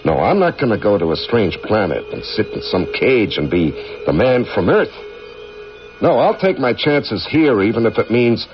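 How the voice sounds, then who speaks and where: A man's voice: lowish voice, sing-song, normal speed. Through a dull, hissy vintage radio broadcast comes a stubborn, world-weary astronaut with an American accent. His delivery is classic radio drama, that of a hero past his prime and ready to give himself up. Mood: wistful, defiant. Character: weary aging male astronaut, stubborn and self-sacrificing. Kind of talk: 1980s radio drama acting.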